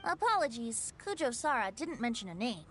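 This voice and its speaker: A woman's voice: on the high side, very animated, fairly quick. A woman voicing a carefree young male bard in a video game, breezy and teasing.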